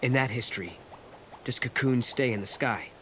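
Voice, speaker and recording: An actor playing an earnest, steadfast young hero in a video game cutscene. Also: male voice, medium pitch, moderate pace, a bit bright, slight background noise, close to an everyday voice. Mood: questioning.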